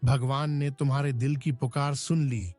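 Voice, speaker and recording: A man's voice: mid-range voice, fairly even intonation, normal speed, darkish tone, faint music behind, lightly acted. A man playing a kind, generous village farmer uncle in a cartoon moral story. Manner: kind, friendly.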